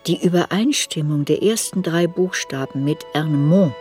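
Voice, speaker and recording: An actress playing an older woman writer who soberly looks back on her youth in a measured, reflective radio drama narration. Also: deep voice, darkish tone, slightly rough, soft background music. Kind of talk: literary radio drama narration in first person.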